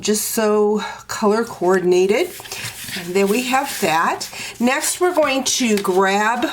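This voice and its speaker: A woman with a stuffy, congested voice talks through a card-making project in an unhurried, step-by-step way. Her pace is slow and easy, with faint background noise and small clicks under her voice. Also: medium pitch. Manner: slow, step-by-step instructing with fillers. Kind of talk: crafting tutorial walkthrough.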